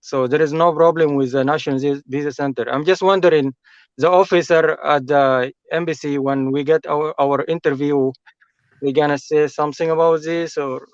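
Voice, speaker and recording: Higher-voiced Sudanese man on muffled call. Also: steady tone, moderate pace, smooth, dry recording. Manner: polite, hesitant non-native English. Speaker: caller. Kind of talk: conversational questions to an advisor.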